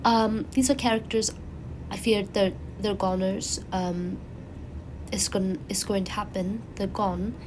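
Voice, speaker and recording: Woman, raspy, congested from a cold, casual rambling host, faint background hiss. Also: medium pitch, unhurried. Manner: rambling, animated, frequent fillers and restarts. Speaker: channel host. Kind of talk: casual chatty commentary.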